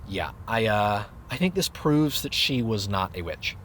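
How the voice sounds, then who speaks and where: A man's voice: lowish voice, big pitch swings, a little slow, fairly bright tone, slight background noise. A man playing an uneasy grown grandson who narrates an audio drama and talks in a hesitant way. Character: grown grandson, uneasy, relieved, reluctant with his family. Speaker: narrator and lead character. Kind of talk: first-person audio drama narration and dialogue. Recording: music bed under the voice.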